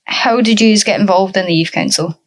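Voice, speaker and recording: A woman's voice: mid-range voice, a little fast. A woman hosting a podcast, reading interview questions and politely thanking her guests after each answer. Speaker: podcast host.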